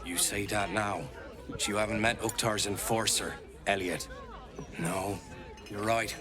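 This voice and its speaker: An actor playing a stubborn, plain-spoken debt enforcer and loyal brother in a video game. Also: male voice, on the low side, fairly even intonation, measured pace, natural voice. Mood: defensive. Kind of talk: video game character acting. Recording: music and crowd behind.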